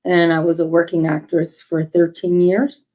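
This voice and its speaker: A woman in her forties, originally from New York, speaks as a guest on a casual podcast. Her voice sits a little low, and she talks in a calm, measured way, laying out her thoughts in steady statements. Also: muffled recording. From New York City, raised in northern New Jersey. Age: 40s.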